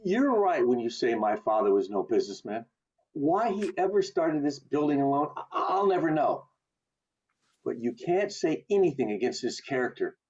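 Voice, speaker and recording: An actor playing an earnest, idealistic young man in an amateur radio play, impassioned and halting, over a video call. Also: mid-range voice, sing-song, darkish tone, husky. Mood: indignant, impassioned. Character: earnest idealistic young man defending his late father. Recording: muffled online video call audio.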